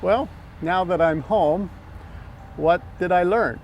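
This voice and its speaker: Older american guy, gravelly, kinda hesitant casual vlog talk, some noise outside behind him. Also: sits high, slow pace, muffled recording. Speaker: amateur radio operator and vlog host. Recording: outdoor background noise.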